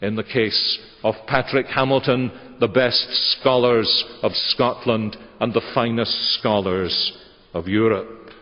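Older male preacher, unhurried and deliberate, recorded dull in a big reverberant sanctuary. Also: mid-range voice, steady tone. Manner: measured, gesturing preaching cadence. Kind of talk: sermon. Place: large church sanctuary. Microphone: lectern microphone. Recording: dull church webcast audio with long reverb.

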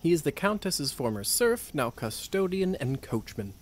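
An actor playing a suave, teasing aristocratic vampire officer in a video game, smooth and condescending. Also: male voice, fairly high pitch, sing-song, moderate pace. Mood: playful, instructive. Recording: game music under the voice.

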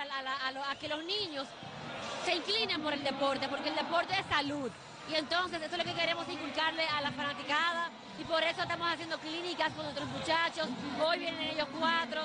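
Upbeat Dominican woman over crowd noise. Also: sits high. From Santiago, Dominican Republic. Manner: upbeat, promotional, fluent. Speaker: sports journalist and team spokesperson. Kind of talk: live TV interview. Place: outdoor neighborhood basketball court. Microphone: handheld held by interviewer.

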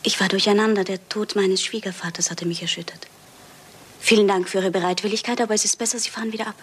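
Breathy, guarded lady played by an actress. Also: medium pitch, fairly even intonation, fast, natural voice. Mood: strained, guarded. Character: distressed, guarded young married lady. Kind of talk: German radio crime play acting.

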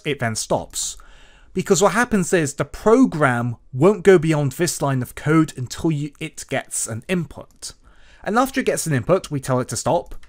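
Lively, swooping male tutorial narrator. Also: a little high. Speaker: tutorial host. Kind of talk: scripted coding tutorial narration over screen recording.

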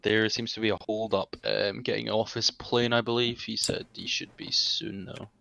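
A man playing a measured, polite senior legal colleague who instructs a trainee in an online roleplay game. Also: lowish voice, little pitch movement, vocal fry, sharp tone, clear, resonant tone. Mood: measured, instructive. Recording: dull, lo-fi game voice chat.

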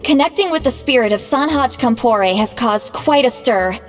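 A woman's voice: on the high side, expressive, normal speed, soft background music, lightly acted. Woman voicing a proud young shaman, reverberant. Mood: concerned, businesslike. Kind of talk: scripted video game dialogue.

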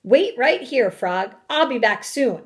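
Woman reading as an earnest, flustered storybook toad, high-pitched. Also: expressive, takes it slowly. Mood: eager, helpful. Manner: exclamatory, dramatic storybook delivery.

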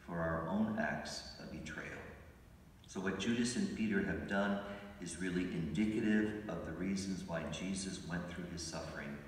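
Deep-voiced older priest in an echoey church. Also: male voice, recorded from a distance, a little hiss or hum. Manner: measured, reflective preaching with slow emphatic phrases. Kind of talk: homily. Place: large church sanctuary, at a pulpit. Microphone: pulpit mic, recorded by a phone from the pews.

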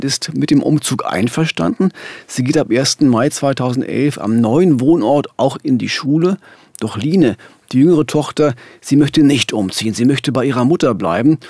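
A man's voice: mid-range voice, darkish tone, husky, no echo, studio quality. A middle-aged man narrating and explaining a true-crime case as a podcast host. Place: dark radio studio. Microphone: studio microphone with headphones.

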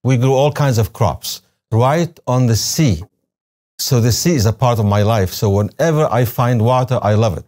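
Older man, Syrian Arabic accent, native Arabic speaker, mellow tone, interview guest, personal recollection. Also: medium pitch, normal speed. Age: 60s or older. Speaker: interview guest, retired religion professor. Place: television studio, seated at a desk. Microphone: lapel mic.

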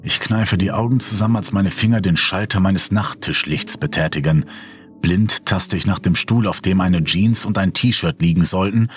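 A man's voice: sits low, normal speed, faint music behind, lightly acted. A man narrating a horror audio drama as a sleepless, brooding character, in a moody, dramatic way. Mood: restless, irritated. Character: sleepless, brooding man haunted by a mysterious hole.

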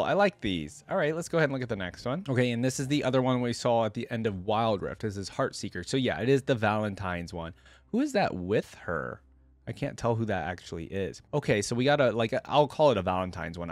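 Young man reacting casually, lively pitch. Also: no echo. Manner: easygoing, slangy, thinking aloud. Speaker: reaction video host. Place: indoor room at a desk, gaming chair. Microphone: desk microphone close in front, headset worn. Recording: music playing behind the voice. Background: music.

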